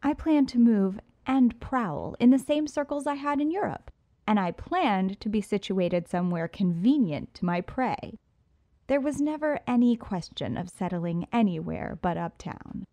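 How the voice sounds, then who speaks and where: A woman's voice: medium pitch, lively intonation, a little slow, dark tone, no echo. A woman reading an audiobook in the first person, with poised, sultry storytelling, recorded on a close studio mic. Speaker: audiobook narrator.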